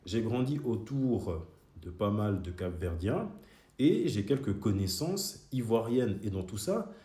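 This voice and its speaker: Argumentative low-voiced man in an echoey room. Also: sing-song, unhurried, mellow. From Switzerland. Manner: argumentative, speaks straight to critics. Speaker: video creator. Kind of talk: heated monologue to commenters.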